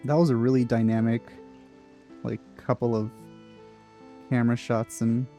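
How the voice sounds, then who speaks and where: A woman giving casual, low-key gameplay commentary, musing aloud as she reacts. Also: level tone, normal speed, dark tone, soft background music. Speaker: gamer reacting to a playthrough.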